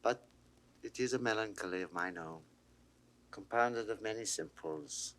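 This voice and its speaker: An actor playing an affected, melancholy gentleman traveller, savouring each phrase in theatrical classical style for a 1960s audio drama. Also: fairly low pitch, unhurried, poor audio. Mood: self-satisfied musing. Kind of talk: classical Shakespearean stage acting.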